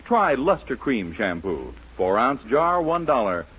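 Animated American radio announcer, a man. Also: mid-range voice, measured pace, husky. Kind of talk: 1940s radio ad and announcement reading. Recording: muffled 1940s radio broadcast, music behind.

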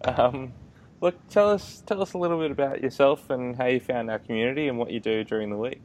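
A man hosting an interview, friendly and quick to laugh, with hesitant fillers. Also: mid-range voice, darkish tone. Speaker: podcast host, gym owner. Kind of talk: casual interview chat.

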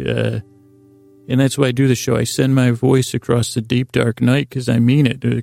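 A man hosting a bedtime podcast in a creaky, soothing voice, improvising a meandering story with halting restarts and repeated phrases. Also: medium pitch. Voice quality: creaky, gravelly. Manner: gentle, lulling, soothing. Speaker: host of a bedtime sleep podcast.

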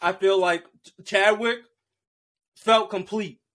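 A man co-hosting a podcast in a relaxed, casual chat, speaking loosely and slangily and often tagging "bro" onto his lines. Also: high voice, takes it slowly. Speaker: podcast co-host. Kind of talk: casual chat about shows and movies.

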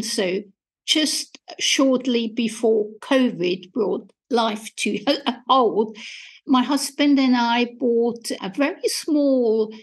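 A woman in her fifties from Austria with an Austrian accent, speaking as a food historian guest in an interview, enthusiastic and measured with soft laughs. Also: fairly high pitch. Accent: Austrian German. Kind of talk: interview conversation.

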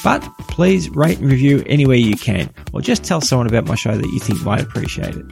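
Gravelly male podcast reviewer over music. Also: mid-range voice, sing-song, brisk.

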